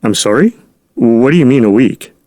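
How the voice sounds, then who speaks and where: A man plays an American aide to a president in an audio drama. His mid-pitched voice is dry and close, formal, deferential and pressingly earnest. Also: expressive, moderate pace, a little mellow, no echo, natural voice. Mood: concerned.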